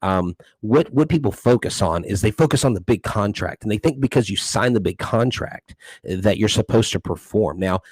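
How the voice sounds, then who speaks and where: A man with a low, deep voice co-hosts a casual sports podcast. He rambles through his points with plenty of restarts and fillers. The sound is close and dry, with no room in it. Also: a little mellow, no room sound, poor audio. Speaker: podcast co-host.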